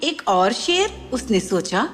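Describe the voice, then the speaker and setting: A woman narrates a children's story in scripted style. Her voice is a little high and very animated in pitch, with music clearly playing behind. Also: slow pace.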